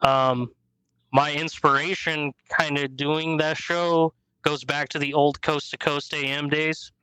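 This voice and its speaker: A man's voice: fairly high pitch, flat delivery, measured pace, pushed and bright, clear, resonant tone, no echo, low-quality recording. A man in his thirties, a guest podcast host chatting casually and easily about his show. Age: 30s. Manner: easygoing, chatty, storytelling.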